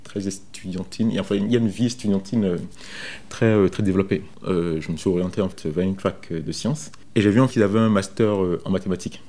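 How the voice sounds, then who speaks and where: A man in his thirties from Benin recounts his career informally, speaking in a halting way with frequent repetitions. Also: deep voice, mellow. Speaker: software developer interviewed about his career. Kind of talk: informal first-person career account.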